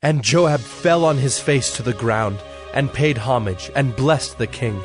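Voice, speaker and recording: A man's voice: medium pitch, a little slow, music in the background. A man reading scripted Bible narration as the narrator of a dramatized production.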